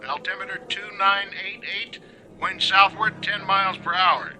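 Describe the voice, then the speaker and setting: High-voiced, brusque tower controller. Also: male voice, fairly even intonation, moderate pace, pushed and bright, a little hiss or hum, low-quality recording. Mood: official, firm. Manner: brusque, official. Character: gruff, skeptical 1930s airport tower controller. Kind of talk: radio drama acting.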